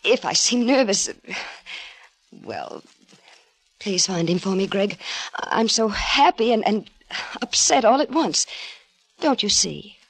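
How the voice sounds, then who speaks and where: An actress playing an anxious, proud wife desperately in love, with a melodramatic, emotional delivery in a 1950s radio drama. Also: female voice, mid-range voice, very animated, slow, long pauses, husky, dry recording, full character voice. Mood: distraught.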